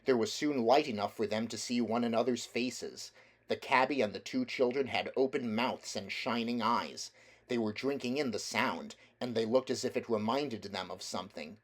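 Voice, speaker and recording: Measured male storybook narrator, mid-pitched. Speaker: audiobook reader.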